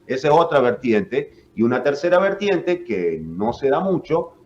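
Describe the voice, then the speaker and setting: A man's voice: medium pitch, very animated, normal speed, lo-fi sound. Argentine man with a northern-Córdoba accent. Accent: Argentine, northern mixed with Córdoba. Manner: explanatory, with frequent fillers and "¿no?" tags. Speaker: voice actor and announcer. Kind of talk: radio interview guest.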